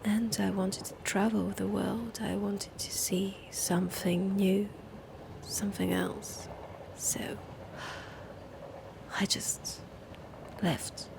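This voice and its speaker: Woman playing a French-accented vampire aristocrat, whispered and husky, sick-voiced, very slow and gravelly, noisy recording. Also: mid-range voice, level tone. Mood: sly. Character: centuries-old vampire woman, Dracula's sister, aloof. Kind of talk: whispered ASMR audio roleplay.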